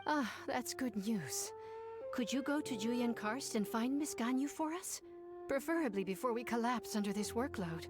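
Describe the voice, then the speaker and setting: A woman plays an overworked young clerk, weary and sighing, her pitch a touch high and swinging widely. Game music plays behind her. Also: lively intonation, normal speed, close to an everyday voice. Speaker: game character.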